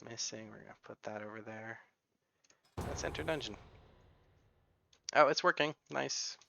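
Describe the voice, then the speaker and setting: A man's voice: medium pitch, moderate pace, vocal fry, noisy background. A man with an American accent giving chatty, rambling gameplay commentary and often correcting himself. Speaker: gaming YouTuber.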